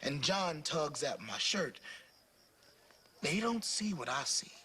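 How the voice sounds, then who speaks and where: A man narrating a storybook aloud in an expressive, dramatic way with varied phrasing. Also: on the high side, takes it slowly. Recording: clear music playing behind the voice.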